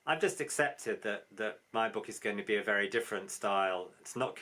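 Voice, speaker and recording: A man's voice: fairly low pitch, a little fast, bright, forceful tone. A man who works as an editor and translator, talking reflectively and fluently in a conversation about a memoir. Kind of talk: conversational interview.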